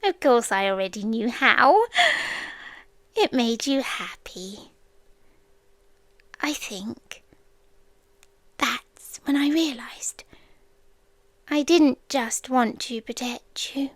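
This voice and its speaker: A British woman voicing an ancient, lonely, devoted creature in a soft, whispery, hesitant ASMR roleplay, close to the mic. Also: on the high side, animated, no room sound. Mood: wistful, tender. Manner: soft, slow, hesitant, gentle. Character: ancient lonely female creature, tender, devoted, shyly protective. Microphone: close microphone, dry.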